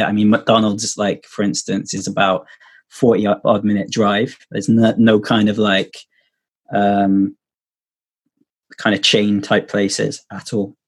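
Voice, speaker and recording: Husky man rambling on a video call. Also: lowish voice. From Wales. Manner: rambling, many fillers and restarts. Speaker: podcast guest. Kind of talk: casual testimony chat.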